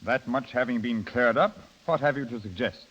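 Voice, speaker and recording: An actor in a 1930s radio play as a suave, coolly reasonable gentleman lover with polite, formal speech. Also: medium pitch, normal speed, highs cut off, lightly acted. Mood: condescending. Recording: faintly hissy 1930s radio broadcast.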